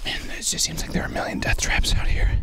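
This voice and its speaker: A man whispering a casual, rambling, mock-spooky ASMR narration as he walks outdoors, recorded on a handheld shotgun mic. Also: quick pace. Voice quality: breathy whisper. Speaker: vlogger and ASMR creator. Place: outdoors in the woods, walking. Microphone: handheld shotgun microphone.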